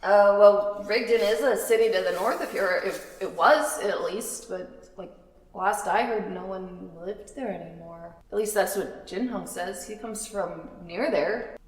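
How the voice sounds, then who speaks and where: A woman's voice: mid-range voice, a little slow, close to an everyday voice. A woman playing a wary, devout young churchwoman in a tabletop fantasy podcast, guarded and earnest. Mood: hesitant. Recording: echoey hall with long reverb.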